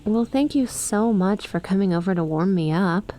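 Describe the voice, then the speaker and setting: A woman's voice: mid-range voice, normal speed, warm tone, natural voice. A woman playing an affectionate young snake-girl best friend in an ASMR roleplay, teasing and chummy as she talks to the listener. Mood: upbeat, friendly.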